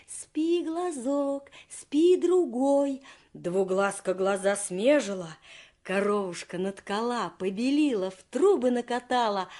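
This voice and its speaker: A woman narrating a folk fairy tale as an expressive storyteller. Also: fairly high pitch.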